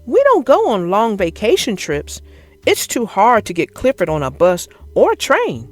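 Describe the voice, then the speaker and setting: A woman narrating a children's picture book as an expressive, theatrical read-aloud. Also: medium pitch, measured pace, faint music behind.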